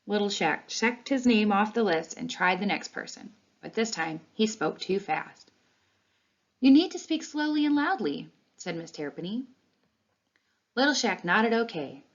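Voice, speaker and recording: Woman reading a children's storybook, muffled recording. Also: on the low side. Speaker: read-along reader. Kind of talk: expressive children's storybook read-aloud. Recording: dull, lo-fi sound.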